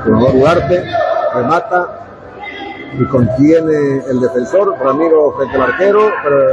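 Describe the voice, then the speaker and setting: Bolivian male futsal commentator over loud noise. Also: mid-range voice, unhurried, muffled recording. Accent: eastern Bolivian Spanish. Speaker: radio sports commentator. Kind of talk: live futsal match commentary. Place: indoor coliseum sports court, from the stands. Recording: very noisy live sports hall. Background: loud ambient noise of a futsal match.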